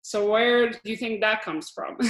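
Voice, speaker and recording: A woman's voice: fairly high pitch, takes it slowly. Woman from israel teaching hebrew on a video call, chatty, restarts a lot, echoey room. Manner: explanatory, chatty, laughs often, many restarts. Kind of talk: online lesson with shared slides.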